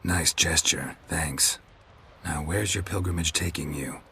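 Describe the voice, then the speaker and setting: An actor playing a terse, deadpan wandering swordsman in a fantasy video game. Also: a bass voice, a little slow, a little hiss or hum, exaggerated voice. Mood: dry, calm. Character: gruff, laconic male wandering swordsman, dryly menacing. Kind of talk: video game dialogue acting.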